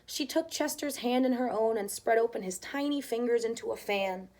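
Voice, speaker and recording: Expressive woman storyteller, fairly high voice. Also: normal speed. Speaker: storyteller reading aloud to children. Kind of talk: expressive picture book narration.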